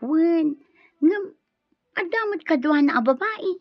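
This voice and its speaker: A woman's voice: extremely high pitch, expressive, takes it slowly, exaggerated voice. A woman acting a lovestruck, wistful young student in an Ilocano radio drama, with emotive, hesitant delivery. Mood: curious, eager. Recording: dull lo-fi sound, roomy echo.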